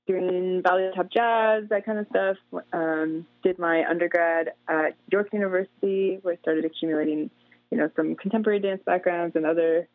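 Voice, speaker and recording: A woman's voice: lowish voice, no echo. Chatty Canadian-raised woman on a phone-like line. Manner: chatty, many fillers like "you know" and "like". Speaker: guest, dancer and PhD student. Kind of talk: casual interview answers. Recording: tinny phone-like line, dry.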